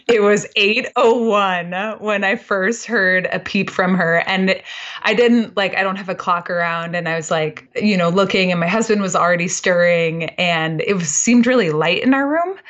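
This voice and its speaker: A woman in her thirties, a guest on a podcast, chatting in an animated way and laughing easily. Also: mid-range voice, normal speed, lo-fi sound. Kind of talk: casual chat.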